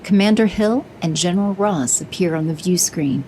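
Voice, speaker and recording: A woman narrates an audio drama in a measured storytelling manner. Her voice is mellow and lowish, with a faint noise underneath. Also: rough recording.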